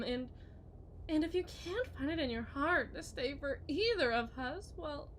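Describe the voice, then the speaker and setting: Girl doing a crazy clingy kidnapper wife, high bright voice, slow taunting asmr roleplay, bit of noise. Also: full character voice. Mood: bitter, pleading. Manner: theatrical, taunting, swinging between hushed and shrill. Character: possessive, unhinged kidnapper wife, mocking and pleading.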